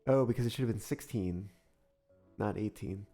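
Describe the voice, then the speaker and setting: A man live-streaming a railway-building game, musing aloud through his sums and greeting viewers in a soft, soothing way while still getting over an illness. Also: lowish voice, moderate pace. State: recovering from illness. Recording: faint music under the voice. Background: soft background music.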